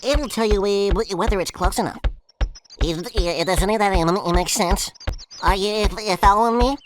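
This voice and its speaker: A man's voice: high voice, natural voice. A man voicing a timid, friendly, dim pig farmer in a cartoon video game, bumbling along with a heavy stutter. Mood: bumbling, helpful. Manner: hesitant, bumbling, halting. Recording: slightly noisy game audio capture.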